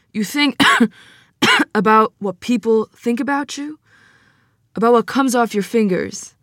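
A woman's voice: fairly high pitch, expressive, takes it slowly, pauses often, a bit bright, dry recording, clean studio sound. A woman playing an ailing, frank, devout small-town organist in a table read, with folksy, plainspoken phrasing. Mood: urgent, encouraging. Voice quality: coughing.

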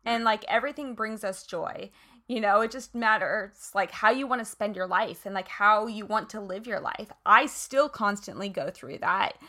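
A woman's voice: medium pitch, normal speed, pushed and bright. A woman hosting an interview show, talking in a casual, chatty and rambling way. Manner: chatty, rambling, lots of "like" and "you know". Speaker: podcast host.

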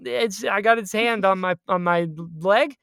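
A woman's voice: medium pitch, measured pace, gravelly, fairly bright tone, clean tone, no echo, studio quality. A woman podcast host chatting casually, playful and self-deprecating in her banter.

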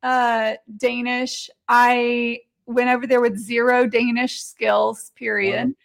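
A woman's voice: a little high, flat delivery, slow, gravelly. A middle-aged woman chatting casually as an interview guest, telling personal stories. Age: 40s or 50s.

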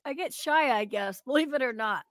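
A woman's voice: high-pitched, lively intonation, a little fast, a bit bright, no room sound, clean studio sound. A middle-aged American woman from Oregon, a podcast guest chatting casually in a giggly, joking manner. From Oregon, United States. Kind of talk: casual chat.

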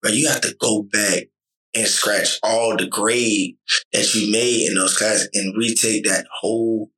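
A man's voice: fairly low pitch, steady tone, measured pace, fairly bright tone, poor audio. A man talking earnestly and emphatically in casual podcast conversation, often restarting his sentences.

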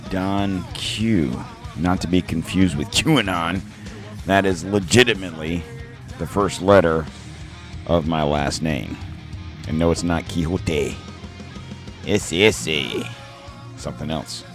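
Deep, hoarse-voiced man hosting a casual podcast with soft music underneath. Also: slow, long pauses. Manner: rambling, self-deprecating, reads sponsor ads. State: hoarse from throat trouble. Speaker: podcast host. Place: home podcast studio.

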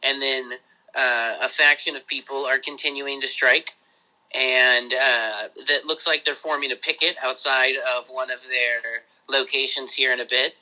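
A man, hosting a podcast, talking casually over a phone call in a rambling, excited way with some swearing. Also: unhurried. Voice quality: high voice. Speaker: podcast host.

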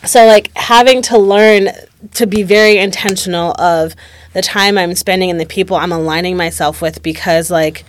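A woman's voice: fairly low pitch, steady tone, normal speed, slight background noise. A woman in her late twenties talking candidly and reflectively in a casual conversation, peppering her speech with "like". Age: late 20s. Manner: reflective, candid, heavy use of "like".

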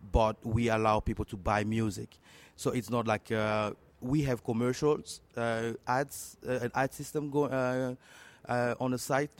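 A man with a French accent, a native French speaker, answering as an interview guest in a halting way, repeating words as he searches for phrasing. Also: lowish voice. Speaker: interview guest, tech entrepreneur.

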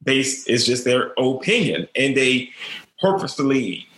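Teacher, male, stutters and repeats words, earnest, bright tone in a roomy space. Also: medium pitch, very animated, a little slow. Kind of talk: personal storytelling interview.

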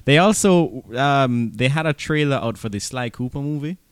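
A man hosts a casual movie podcast in an easy, bantering way. His voice is crisp and clear at an ordinary pitch, recorded dry and close. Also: lively intonation, moderate pace, dry recording. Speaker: podcast host. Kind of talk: casual chat about movies.

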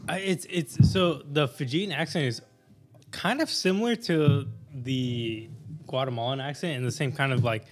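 A man's voice: mid-range voice, normal speed. A man in a casual chat among friends, rambling through an explanation with lots of fillers. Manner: rambling, explains things with many fillers and laughs.

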